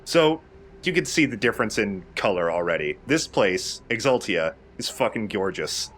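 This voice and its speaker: A man's voice: lowish voice, animated, moderate pace, fairly bright tone, slight background noise. A man giving casual, enthusiastic gameplay commentary as a let's play host, with some casual swearing.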